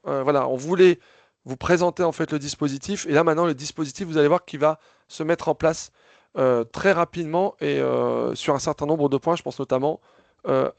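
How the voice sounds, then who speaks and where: Gravelly man hosting a video call. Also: a little high, a little mellow, dry recording. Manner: hesitant, many fillers and restarts. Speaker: association host of a video call. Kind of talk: casual spoken briefing, moderating questions.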